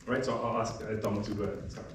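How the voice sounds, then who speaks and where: A man's voice: on the low side, flat delivery, a little fast. A man moderating a workshop panel, joking loosely and restarting his sentences often. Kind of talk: introducing a panel discussion. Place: lecture room, workshop audience. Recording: very echoey room, distant mic, faint noise.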